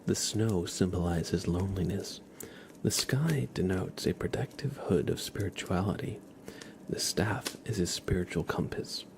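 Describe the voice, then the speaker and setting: Male ASMR host, low gravelly fry, soft whispery delivery, casual and gentle. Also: noisy background. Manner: gentle, unhurried, casual chat with viewers.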